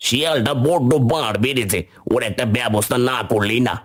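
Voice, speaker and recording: Guy doing smart bossy cartoon monkey, raspy mid voice, kinda know-it-all, bit of music behind. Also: mid-range voice, fairly quick, natural voice. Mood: determined. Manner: chiding, know-it-all, instructive. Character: clever, helpful, bossy adult monkey. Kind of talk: animated children's fable dialogue.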